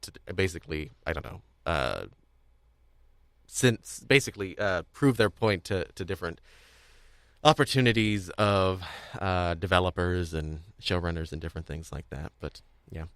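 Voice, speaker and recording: A man with a fairly low voice hosts a casual podcast interview. He rambles and halts, doubling back on words as he works out a thought. The recording is very dry, with no room sound. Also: fairly bright tone, clear, resonant tone, dry recording. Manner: rambling, halting, repeats words mid-thought. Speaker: podcast host. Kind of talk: casual interview chat about audio drama.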